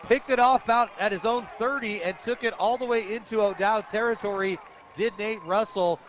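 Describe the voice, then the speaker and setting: An American man calling live football play-by-play on the radio in an animated, colloquial, opinionated way. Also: sits high, normal speed. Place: stadium press booth, radio broadcast. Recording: muffled broadcast audio, outdoor stadium noise.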